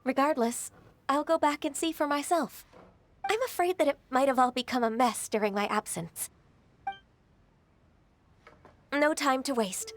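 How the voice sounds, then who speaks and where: An actress voicing a gentle, ageless, half-divine secretary in a video game, soft-spoken and earnest. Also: female voice, high-pitched, moderate pace, a little hiss or hum, lightly acted. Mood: resolute. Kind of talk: game voice acting. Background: game soundtrack music.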